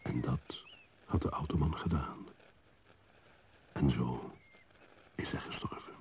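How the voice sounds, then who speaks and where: An actor playing a solitary, brooding male wanderer who narrates in a solemn, measured, poetic radio play style. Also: extremely low pitch, darkish tone, a little hiss or hum, exaggerated voice.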